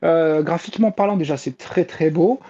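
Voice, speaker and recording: Dull lo-fi, mellow woman reviewing manga. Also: deep voice, normal speed. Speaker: manga columnist. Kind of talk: casual enthusiastic review.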